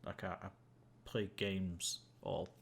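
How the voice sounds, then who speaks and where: Deep gravelly guy rambling to his stream viewers, lots of ums, bit of hiss behind. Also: flat delivery, slow. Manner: rambling, self-amused, many fillers and restarts. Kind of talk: casual gameplay chat to viewers.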